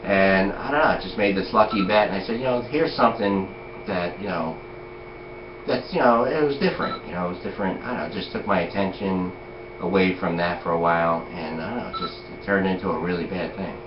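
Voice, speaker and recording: A man's voice: low voice, fairly quick. A man in his forties chatting casually, rambling and full of "you know" and "like". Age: 40s. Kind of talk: casual chat. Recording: echoey lo-fi room with noise.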